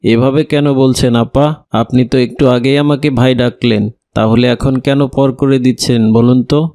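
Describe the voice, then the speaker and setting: A dutiful young family man in a cartoon acted by a man, with a medium-pitched voice. He is respectful, earnest and reassuring, recorded close and dry. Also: fairly even intonation, normal speed, dark tone, dry recording, lightly acted. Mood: earnest, insistent. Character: kind, dutiful young brother-in-law, respectful and firm. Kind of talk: Islamic moral cartoon dialogue.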